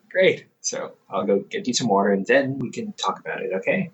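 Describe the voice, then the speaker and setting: A man's voice: fairly low pitch, animated, moderate pace, distant mic, muffled recording, close to an everyday voice. A man voicing a caring, goofy older brother in an audio roleplay, playful and chatty, laughing often.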